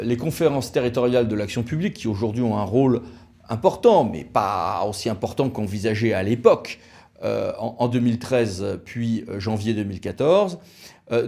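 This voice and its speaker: A man's voice: medium pitch, darkish tone. A man with an accent from France giving a semi-improvised explanatory talk, didactic, stressing key words. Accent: French from France. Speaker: lawyer presenting an explainer.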